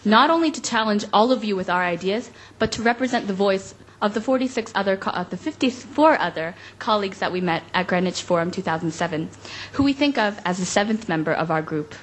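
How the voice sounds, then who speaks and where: A young woman from South Korea, a youth delegate, giving a prepared, measured speech at a forum. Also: mid-range voice, lively intonation, low-quality recording. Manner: formal, measured reading.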